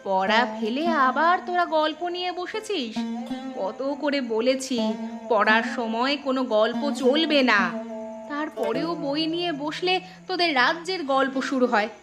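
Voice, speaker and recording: A woman plays a poor village mother in a cartoon. Her voice is high, caring and gently firm as she mildly chides. Music runs clearly underneath. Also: lively intonation, moderate pace. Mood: mildly chiding. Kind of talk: cartoon story dialogue.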